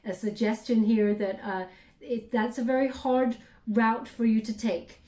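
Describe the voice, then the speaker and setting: A woman giving a spiritual tarot reading, speaking softly and haltingly with many fillers, her voice going hoarse. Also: fairly high pitch, steady tone, reverberant, recorded from a distance. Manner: soft, hesitant, many fillers, addresses listener warmly. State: losing her voice, clearing throat. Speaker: tarot reader.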